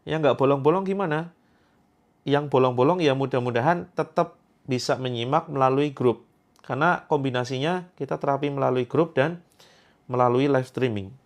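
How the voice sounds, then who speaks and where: A man's voice: mid-range voice, normal speed. A man in his thirties from Indonesia, hosting a livestream where he reads out viewer comments in a chatty, joking way and repeats his points for emphasis. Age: 30s. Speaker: Quran therapy host.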